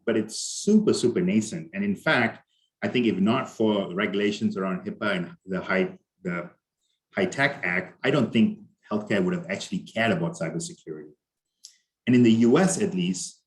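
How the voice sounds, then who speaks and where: A middle-aged man with an Indian accent giving a conversational conference talk over a video call, with many fillers and restarts. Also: lowish voice. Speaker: conference speaker. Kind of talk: conference presentation.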